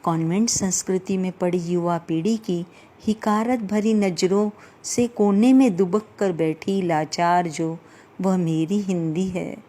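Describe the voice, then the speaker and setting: A woman reciting a poem with slow, impassioned delivery at a Hindi day event. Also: on the low side, mellow. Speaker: poetry reciter.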